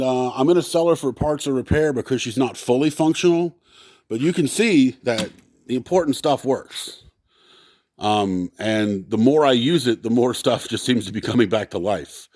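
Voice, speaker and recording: Man, lowish pitch, casual unscripted demonstration, hesitant and halting, dry close sound. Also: a little mellow, no room sound. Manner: hesitant, halting, thinking aloud. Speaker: seller demonstrating used equipment.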